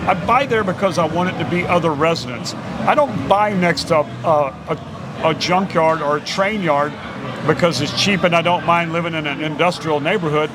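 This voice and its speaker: Man host chatting outdoors, rambling and stammering, slightly high voice, clear street noise. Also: moderate pace. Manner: rambling, stammering, laughs often. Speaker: podcast host. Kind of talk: casual chat.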